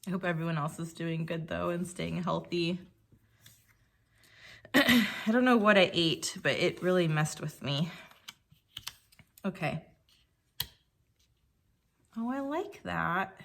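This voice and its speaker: A woman with a slightly croaky voice, pitched a little low for a woman. She chats casually and thinks aloud as she works through a crafting project. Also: animated, slow, pauses often, fairly bright tone, a little hiss or hum. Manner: chatty, thinking aloud while working. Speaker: scrapbooking creator.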